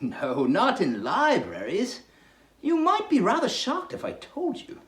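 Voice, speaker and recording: Swooping, smooth-talking young newcomer man, played by a woman. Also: on the high side, huge pitch swings, unhurried, echoey room. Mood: teasing. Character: smooth, ingratiating young male library newcomer.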